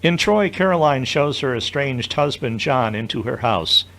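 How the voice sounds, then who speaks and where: A man's voice: medium pitch, animated, normal speed, fairly bright tone. A man narrating an audio drama from a script, describing each scene as storyteller.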